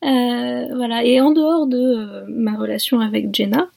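A woman in her thirties joining a podcast from home. Her voice sits a little high, with a husky, gravelly edge. It is recorded close and dry, and she talks in an unhurried, wry way. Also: fairly even intonation, darkish tone, no room sound. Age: 30s. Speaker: podcast guest. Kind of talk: casual podcast chat. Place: at home during lockdown.

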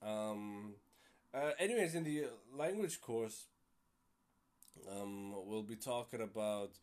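A man's voice: mid-range voice, a little mellow. Rambling young male podcast host. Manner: rambling, swears casually, many fillers. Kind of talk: casual solo podcast chat.

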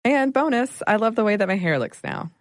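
A woman's voice: mid-range voice, animated, brisk, no echo, clean studio sound. A woman reading a scripted ad in a chatty testimonial tone. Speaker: ad spokesperson. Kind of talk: scripted ad read.

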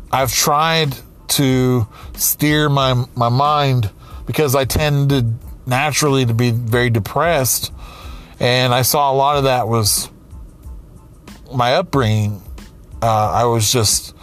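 Guy around 40, slow halting reflective talk, faint music in back. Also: mid-range voice. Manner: slow, halting, with many restarts and pauses. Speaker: aspiring writer, comedian and podcaster. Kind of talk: reflective personal monologue.

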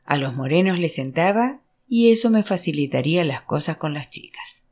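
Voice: mid-range voice, lively intonation, moderate pace, darkish tone. A narrator reading literary fiction aloud as an audiobook, in a scripted storytelling style. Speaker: audiobook reader.

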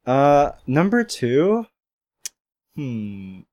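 Laid-back young man, flat monotone. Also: mid-range voice, very slow, no room sound, studio quality. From Philippines. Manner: laid-back slangy talk, frequent swearing. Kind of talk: casual chat ranking fruits.

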